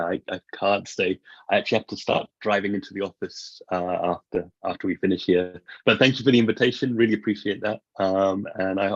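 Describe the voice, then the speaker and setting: Relaxed man on dull dry call audio. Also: fairly low pitch, dry recording. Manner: friendly, relaxed, hesitant fillers. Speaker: guest speaker.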